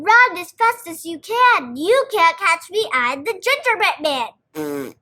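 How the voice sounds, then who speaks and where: Woman, children's read-aloud, high bright voice, expressive, storytelling.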